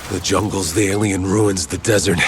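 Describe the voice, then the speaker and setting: A man's voice: low-pitched, fairly even intonation, normal speed, background noise. An actor playing a weary male veteran survivor in a video game, with a grim, heavy way of telling things. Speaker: game character. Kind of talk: video game cutscene acting. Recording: game mix with loud ambience.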